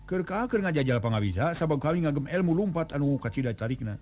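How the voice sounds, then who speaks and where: A man voicing an arrogant, hot-tempered young man who runs faster than the wind, scolding in a high, strained voice. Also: animated, darkish tone, soft background music, close to an everyday voice. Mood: boastful.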